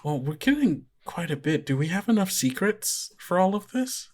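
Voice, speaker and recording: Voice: medium pitch, big pitch swings, normal speed, a little mellow, pitched above the performer's own voice. A game master voicing a cautious companion who asks doubtful questions.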